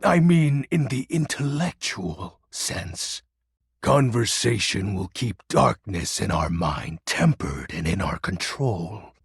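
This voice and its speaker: Raspy, theatrical lord of darkness. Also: male voice, lowish voice, animated, slow pace, no echo, clean studio sound, heavily acted. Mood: haughty, scheming. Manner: pompous, theatrical, archaic grandiose diction. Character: melodramatic, self-styled male dark lord, personification of darkness. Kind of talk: video game character voice acting.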